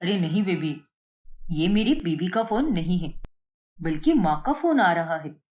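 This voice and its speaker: A woman voicing a smooth-talking young cheating husband in a cartoon story, in a placating manner. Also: fairly low pitch, lively intonation, unhurried, darkish tone, pitched below the performer's own voice. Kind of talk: Hindi cartoon moral-story voice acting.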